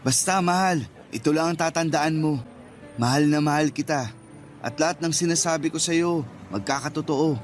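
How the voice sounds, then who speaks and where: Radio drama boyfriend, male actor: Filipino, playful, earnest sweet-talker, lightish pitch. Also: moderate pace, soft background music, close to an everyday voice. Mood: reassuring, playful. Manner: teasing, earnest, affectionate. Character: sweet-talking, playful young boyfriend promising marriage. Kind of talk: radio romance drama acting.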